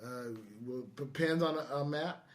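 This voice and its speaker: A man's voice: fairly high pitch, steady tone, normal speed. A middle-aged man hosting a podcast in casual chat, joking and interrupting himself as he goes. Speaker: podcast host. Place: indoors, in person with co-hosts.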